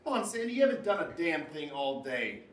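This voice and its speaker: A man's voice: on the high side, big pitch swings, brisk. A man acting a needling, self-absorbed husband in a naturalistic relationship drama, with emphatic delivery. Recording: echoey, distant mic, background noise.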